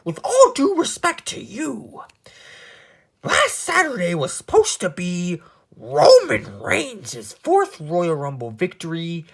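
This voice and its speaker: A man voicing a smooth-talking male wrestling manager who speaks with formal politeness. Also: high voice, huge pitch swings, slow pace, exaggerated voice. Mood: wheedling. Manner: formal, wheedling.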